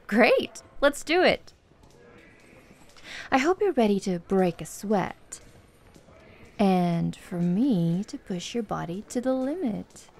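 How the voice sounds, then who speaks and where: A woman's voice: medium pitch, very animated, slow, vocal fry, pauses often, darkish tone, exaggerated voice. A woman playing a flirty, confident tomboy MMA fighter in an ASMR roleplay, with a playful, teasing, coaxing manner. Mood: playful, inviting.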